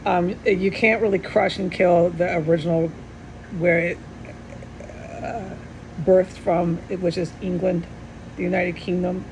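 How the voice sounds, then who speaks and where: A middle-aged woman giving a rambling, earnest personal monologue with insistent, repeated emphasis and a gravelly voice. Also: unhurried, pauses often, rough recording. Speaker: vlogger. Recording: steady background hum and rumble.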